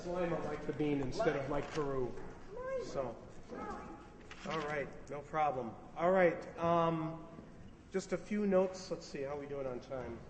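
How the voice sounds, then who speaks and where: A man with a fairly high voice gives rehearsal notes as a director. He sounds somewhat distant in a reverberant hall. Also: a little slow, a little hiss or hum. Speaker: radio play director. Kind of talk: giving rehearsal notes to a cast. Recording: echoey hall, distant, music behind.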